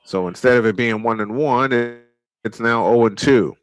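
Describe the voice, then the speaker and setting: A man calling a baseball game live, unhurried, filling the pauses between pitches. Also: no echo. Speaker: play-by-play baseball announcer. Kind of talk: live sports commentary.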